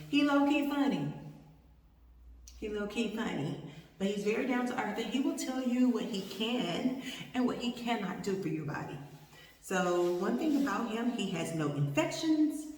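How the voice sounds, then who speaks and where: Casual female vlogger, candid and emphatic through repetition, mid-range voice, distant mic with roomy reverb. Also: mid-range voice. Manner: candid, repeats phrases for emphasis. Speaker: vlogger giving a personal review. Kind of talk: casual chat.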